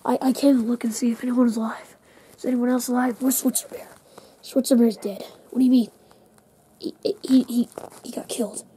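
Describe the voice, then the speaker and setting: A woman play-acting frightened toy film-crew survivors fleeing a monster in amateur found-footage horror, with breathless exclamations and hushed stammering. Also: fairly high pitch, flat delivery, normal speed, slightly rough. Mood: shaken, mournful. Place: outdoors on a covered paved patio. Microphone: handheld camera microphone. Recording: faint noise, handheld camera audio.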